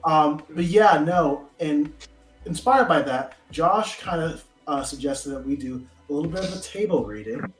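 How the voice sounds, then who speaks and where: A man's voice: fairly high pitch, lively intonation, moderate pace. A man co-hosting a casual live stream, talking like an enthusiastic fan. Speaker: podcast host. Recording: roomy echo, faint music.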